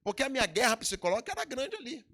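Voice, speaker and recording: A man's voice: very high-pitched, sing-song, very fast, bright, forceful tone. A middle-aged Brazilian man with a Brazilian Portuguese accent, preaching a sermon in a church with emphatic swells and sudden drops. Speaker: pastor. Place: church hall.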